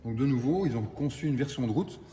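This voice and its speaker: A man's voice: mid-range voice, expressive, fast, warm tone, big-room sound, a little hiss or hum. An older man, explaining car restoration in a casual interview, hesitant and repeating words. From Italian roots.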